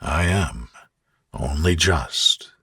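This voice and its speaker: A man voicing a devoted, tender gentleman in love, murmuring softly for a romantic bedtime story. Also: animated, very slow, slightly rough, no room sound, clean studio sound, heavily acted. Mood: drowsy, warm. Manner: low, soft murmur.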